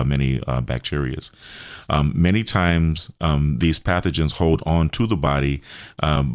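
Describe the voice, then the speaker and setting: A man explaining health therapies in a radio interview, speaking haltingly and often repeating himself. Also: extremely low pitch, no room sound, clean studio sound. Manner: hesitant, halting delivery with repeated phrases.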